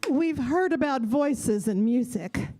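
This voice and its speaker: Female children's show presenter, scripted live delivery, very animated and slow. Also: high voice, loud music behind the voice. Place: live library event before an audience.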